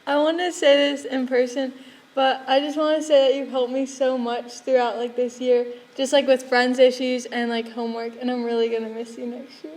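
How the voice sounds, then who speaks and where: Teen girl, high pitched and flat, says like a lot, faint noise behind. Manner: casual, halting, with many likes.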